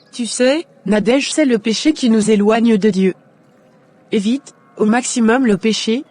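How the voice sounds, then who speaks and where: A woman's voice: on the high side, darkish tone, natural voice. A woman playing firm advising friend Hermine. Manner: firm, caring advice.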